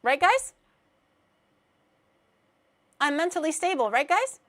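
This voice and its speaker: A woman's voice: high voice, sing-song, slow pace, a bit bright. A woman in her twenties streaming, chatting casually while reacting to clips, rambling, self-mocking and laughing often. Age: 20s.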